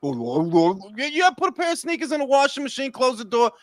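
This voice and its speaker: A man's voice: extremely high pitch, big pitch swings, quick pace, dry recording, studio quality. A Dominican man hosting a casual boxing chat, speaking in profane, emphatic slang and repeating himself for emphasis. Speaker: boxing talk show host.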